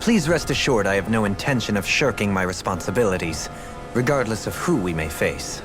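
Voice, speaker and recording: A man's voice: fairly low pitch, expressive, a little fast, natural voice. A man playing a refined, politely aloof former lord in a video game, speaking formally and eloquently. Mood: wry, formal. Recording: music in background. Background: background music.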